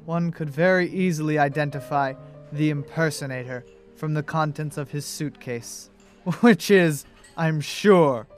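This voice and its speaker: Actor as higher-voiced man, a sly false cop. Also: a little slow, soft background music, natural voice. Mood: smug menace. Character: mafia hitman posing as nervous rookie cop, slyly menacing. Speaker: actor in a radio drama. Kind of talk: radio drama acting.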